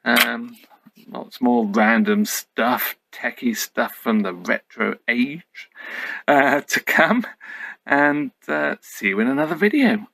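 A man's voice: mid-range voice, slow pace, vocal fry, a bit bright, slight background noise. A middle-aged man giving a casual, unscripted show-and-tell, musing hesitantly as he goes. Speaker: YouTube host. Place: indoors at home.

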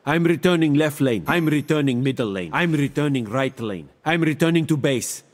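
A man's voice: a little high, lightly acted. Man acting Ra, a theatrical mystic seer god in reverb. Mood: composed, tactical. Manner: theatrical, measured, formal. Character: mystic male seer deity, serene, grandiose, smugly wise. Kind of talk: video game character voice lines.

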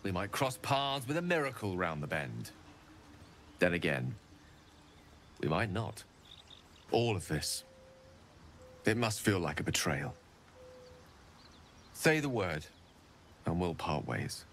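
An eloquent, wry wizard. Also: male voice, low-pitched, big pitch swings, slow pace, pauses often, a little hiss or hum, exaggerated voice. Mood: grave. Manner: theatrical, articulate, self-deprecating. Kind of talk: video game dialogue. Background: soft game music.

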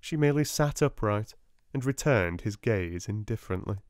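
A man with a British accent reading a classic children's novel aloud in a gentle, soft-spoken read-along style, close to the mic at a table indoors. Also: fairly low pitch, no room sound. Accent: British English. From Britain. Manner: gentle, calm and unhurried. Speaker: audiobook narrator. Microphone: close mic over a book on a table.

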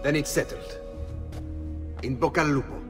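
A male actor playing a courteous Venetian thieves' leader in a video game, with an Italian accent and a measured, authoritative manner. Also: on the high side, fairly even intonation, over music, lightly acted. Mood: assured, conspiratorial. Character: composed, courteous Venetian thieves' guild leader, adult man. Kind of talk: video game cutscene acting.

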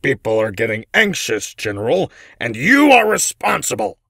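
Man acting gravelly, stern government official. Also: medium pitch, huge pitch swings, moderate pace, a bit bright, no room sound, clean studio sound, exaggerated voice. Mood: stern reproach. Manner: curt, authoritative reprimanding delivery. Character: stern, displeased male government official scolding a subordinate. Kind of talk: audio drama acting.

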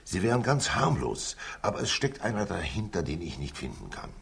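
Radio-play state councillor: jovial, sly older man, very deep and husky, theatrical swoops. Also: wildly swooping pitch, a little fast, fairly bright tone, full character voice. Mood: jovial, confiding. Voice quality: gravelly, husky. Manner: avuncular, theatrical, repeats himself. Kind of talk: 1960s German-language radio crime drama.

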